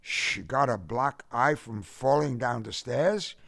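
A man's voice: medium pitch, takes it slowly, vocal fry, fairly bright tone, no echo, studio quality, heavily acted. A man voicing a mild, practical middle-aged family man in audiobook dialogue, plain-spoken and patient. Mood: questioning.

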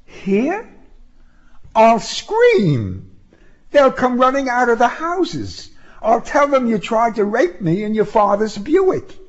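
A sharp-tongued young woman from an audiobook story, acted by a man reader. The voice is lifted high and swings widely as it needles and coaxes. The manner is sarcastic and unflappable, and the recording is somewhat dull. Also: wildly swooping pitch, slow, full character voice. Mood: threatening, taunting.

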